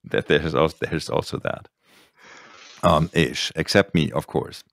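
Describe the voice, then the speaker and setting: A very deep-voiced, gravelly man hosts a podcast from his home studio with a boom mic, chatting loosely and laughing often. The sound is close and dry. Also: measured pace, a bit bright, dry recording. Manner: joking, laughs often, rambling. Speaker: podcast host. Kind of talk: casual chat on a video call.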